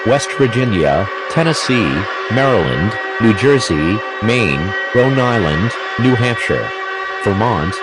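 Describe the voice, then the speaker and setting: A man playing a grave emergency alert announcer, reading scripted official warnings in a formal, stiff way. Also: on the low side. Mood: grave, urgent.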